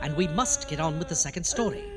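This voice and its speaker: A man's voice: on the high side, big pitch swings, fast talker. A man playing a theatrical, gleefully macabre ghoul doctor who hosts a campy horror audio show. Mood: exasperated. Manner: theatrical, exasperated, alliterative. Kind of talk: campy horror host introductions. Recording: reverberant hall with music behind.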